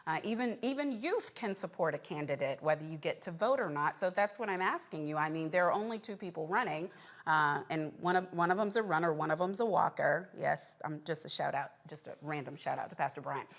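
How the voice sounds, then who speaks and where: A woman's voice: low voice, animated, brisk. A woman hosting a live political talk show, interviewing a guest and moderating a panel.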